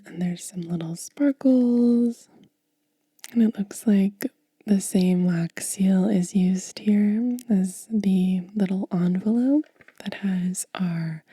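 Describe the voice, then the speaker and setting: A woman whispers very softly and breathily, right up close in a dry recording. She takes her time with an ASMR unboxing, stretching words out at an extremely slow pace. Her tone is very mellow and relaxed, with a gentle manner throughout. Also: mid-range voice, breathy edge, no echo. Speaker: ASMR host. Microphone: close microphone.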